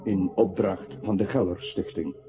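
A man's voice: deep voice, fairly even intonation, unhurried. A male actor in a radio play voicing a scornful, mocking inner voice that passes sardonic judgement. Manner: sardonic, contemptuous, theatrical. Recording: thin phone-like radio sound, loud music.

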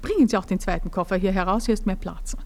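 A woman playing a composed married woman speaking to an old friend in measured tones in a radio play. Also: medium pitch, lightly acted. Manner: measured, sometimes whispered confidences.

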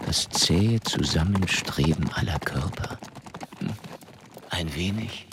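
An actor playing a brilliant, awkward mathematician in a German radio play, halting, muttering and blunt. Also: male voice, low-pitched, noisy background. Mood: pensive, dry. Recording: music behind the voice.

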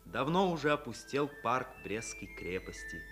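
A man's voice: medium pitch, very animated, normal speed. A man reading scripted narration for a 1950s Soviet radio play. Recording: music behind the voice. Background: music.

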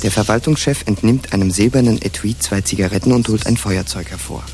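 Low-voiced male radio play narrator. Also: brisk, darkish tone, soft background music. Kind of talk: scripted radio play narration, stage directions.

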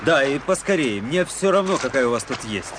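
A man's voice: mid-range voice, expressive, fairly quick, background noise, close to an everyday voice. An actor in a radio play playing a weary, impatient army officer who speaks curtly and tells his own story. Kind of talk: radio play acting with first-person storytelling.

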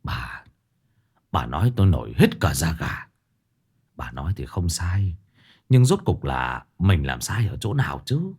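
A man voicing an older village husband who speaks low, hushed and gruff. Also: big pitch swings, a little slow, long pauses, exaggerated voice. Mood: uneasy.

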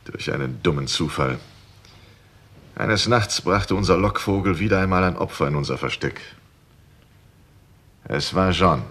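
Busted gang boss in old 60s radio play, really deep husky voice, short guarded resigned answers. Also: male voice, unhurried, pauses often, fairly bright tone. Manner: terse, grudging answers. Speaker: actor in a crime radio play. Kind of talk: 1960s German radio drama acting.

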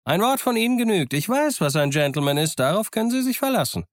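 Man playing a high-pitched, loud, jovial pirate leader. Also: lively intonation, moderate pace, no echo, studio quality, close to an everyday voice. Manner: loud calling, ingratiating and jovial. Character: bold, cheerful, wily male pirate leader calling out.

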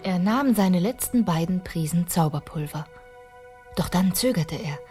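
A woman's voice: on the low side, lively intonation, measured pace, mellow, soft background music. A woman narrator telling a fairy tale in scripted radio play style.

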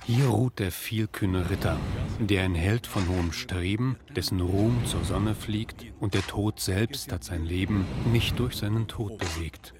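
An actor playing a laconic, wry, dutiful office official in a radio drama, with a deadpan manner. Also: male voice, fairly low pitch, measured pace, natural voice. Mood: solemn. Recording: loud background noise with clicks.

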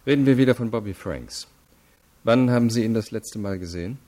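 An actor playing a stern, probing investigator in a radio crime drama, formal, measured and insistent. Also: male voice, on the low side, mellow, lightly acted. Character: stern, probing adult male investigating state attorney. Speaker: interrogator.

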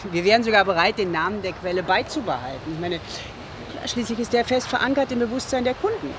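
A woman's voice: medium pitch, lively intonation, fast, close to an everyday voice. An actress playing a cool, polite, businesslike female corporate agent in a radio drama. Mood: cool, negotiating. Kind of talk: naturalistic radio drama acting. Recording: clear plant machinery noise behind.

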